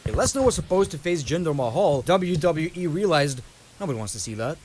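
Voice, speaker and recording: A man's voice: on the high side, big pitch swings, brisk, a little mellow, a little hiss or hum. A young man from Lithuania with a Lithuanian accent, giving blunt, casual reaction commentary and talking straight to his viewers. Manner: blunt, keeps addressing viewers as "people". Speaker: wrestling reaction host.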